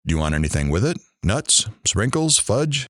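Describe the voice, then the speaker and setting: An actor playing a chatty, showy radio talk show host in an audio drama. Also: male voice, fairly low pitch, big pitch swings, moderate pace, a bit bright, no room sound, clean studio sound. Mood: upbeat, showy.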